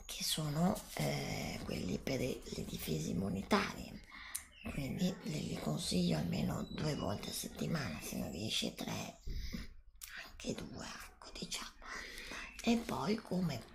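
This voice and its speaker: Whispering, creaky-voiced middle-aged woman, ASMR. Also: low-pitched. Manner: soft whispering with drawn-out, instructing delivery. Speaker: ASMR creator role-playing a nutritionist. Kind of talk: whispered ASMR roleplay of a consultation.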